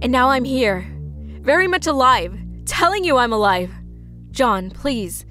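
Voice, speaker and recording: An intense young woman played by a woman in a dubbed comic drama. Her voice sits high, and she talks with pointed, insistent wounded pride. Light music runs underneath. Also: sing-song, measured pace, pushed and bright, exaggerated voice. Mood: pleading. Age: young adult. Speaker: voice actor in a comic dub. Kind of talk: dramatic dialogue. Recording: music behind the voice.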